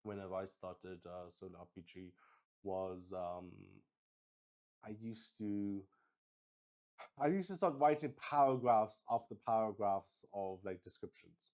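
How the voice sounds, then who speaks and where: A man thinking aloud as he plays and explains a solo roleplaying game on stream, halting and often searching for words. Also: on the low side, a little mellow.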